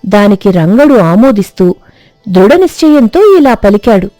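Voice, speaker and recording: A woman's voice: medium pitch, sing-song, unhurried, dark tone, no echo, low-quality recording. A woman reading a scripted moral story as its narrator. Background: music.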